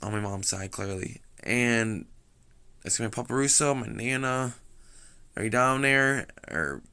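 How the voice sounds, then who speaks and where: A young man with a slightly raspy voice telling a rambling story, full of fillers and restarts. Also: mid-range voice, a little slow, pushed and bright. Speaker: vlogger.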